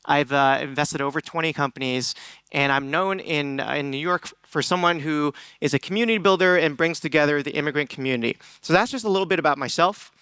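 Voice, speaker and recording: Clear, bright-voiced man giving a stage talk. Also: on the high side, brisk, dry recording. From Hong Kong. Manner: earnest, asks the audience questions, frequent ums and restarts. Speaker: conference speaker.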